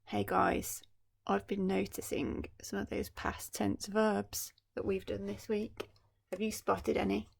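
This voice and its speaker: A woman's voice: medium pitch, flat delivery, slow, vocal fry, husky. A woman primary school teacher reading a story expressively, with explanatory asides for young children.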